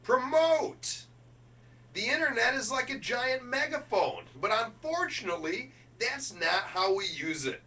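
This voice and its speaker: A man delivering a motivational business keynote speech in an emphatic, deliberate way, punching key words as a speaker. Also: very high-pitched, animated, bright, forceful tone. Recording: distant microphone with faint noise and hum.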